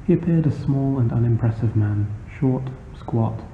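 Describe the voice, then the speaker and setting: A man reads a literary short story in a measured, formal manner, as scripted narration. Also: medium pitch. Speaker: author reading a short story. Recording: muffled phone-like sound, noisy, roomy echo.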